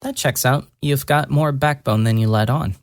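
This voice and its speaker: A narrator voicing a gentle young woman mage who murmurs softly and deliberately, earnest and lightly teasing, in a fantasy audio story. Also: medium pitch, dry recording, studio quality, lightly acted. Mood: earnest, explaining.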